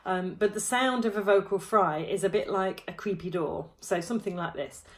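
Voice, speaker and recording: A woman, teaching a vocal warm-up to camera from a small room at home, friendly and demonstrative. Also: mid-range voice, moderate pace. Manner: friendly, demonstrative, touching throat and jaw while explaining. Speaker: choir vocal coach.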